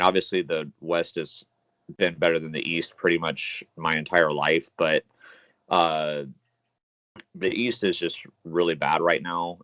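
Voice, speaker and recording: Deep-voiced man on a dull microphone. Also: fairly bright tone, no echo. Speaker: sports podcast co-host. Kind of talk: casual sports opinion.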